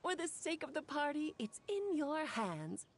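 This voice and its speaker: A woman's voice: high voice, big pitch swings, measured pace, slight background noise, heavily acted. A woman playing a mischievous, breezy, party-loving young woman with a theatrical, teasing manner in a video game. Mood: playful. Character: playful, mischievous, theatrical young woman who loves parties. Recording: music behind the voice.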